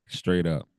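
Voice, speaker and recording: This is a man with a very low, husky voice, hoarse from a cold, talking on a podcast. He chats loosely with plenty of slang and easy laughter. The sound is dry and close, with no room around it. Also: a bit bright, no echo. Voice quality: deep voice. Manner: laid-back, laughs often, loose slang. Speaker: podcast co-host. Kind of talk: casual chat.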